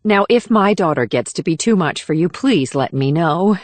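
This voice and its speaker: A woman acts a composed First Lady in a thriller audiobook. She speaks politely and formally in a slightly low voice, recorded dry. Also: animated, normal speed, dry recording. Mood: gracious. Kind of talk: scripted audiobook dialogue.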